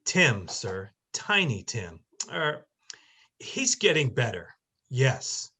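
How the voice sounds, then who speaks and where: A man playing a meek, polite clerk with a husky voice and halting, deferential speech in an amateur dramatic reading. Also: mid-range voice, sing-song, bright, forceful tone, heavily acted. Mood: meek, grateful. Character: Bob Cratchit, meek, polite male clerk.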